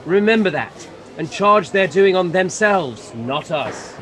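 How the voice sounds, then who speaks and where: A man playing a stern, solemn Christmas spirit in an amateur radio play, speaking in a deliberate, formal way. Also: high voice, expressive. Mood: stern rebuke. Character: solemn, rebuking male Spirit of Christmas Present.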